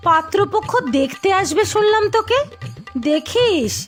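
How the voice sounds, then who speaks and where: A woman's voice: very high voice, animated, a little slow, soft background music. A woman voicing a spiteful, quarrelsome middle-aged village aunt in a cartoon, scolding and sneering. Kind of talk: cartoon voice acting.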